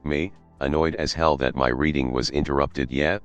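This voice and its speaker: A man narrating a Reddit story in a steady, even read. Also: very deep voice, moderate pace, lo-fi sound. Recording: faint background music.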